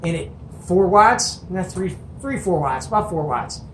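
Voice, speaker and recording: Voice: medium pitch, animated, measured pace, fairly bright tone. Enthusiastic hobbyist host in an echoey room. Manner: enthusiastic, colloquial, folksy exclamations. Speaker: host of a CB radio show-and-tell. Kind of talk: casual hands-on show and tell. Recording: echoey room with faint noise.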